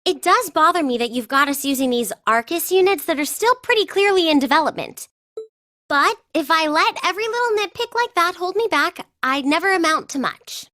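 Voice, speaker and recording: A woman's voice: very high-pitched, lively intonation, a little fast, pushed and bright, heavily acted. An actress playing a proud, spirited teenage girl student in an anime game dub, confident and matter-of-fact. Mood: determined.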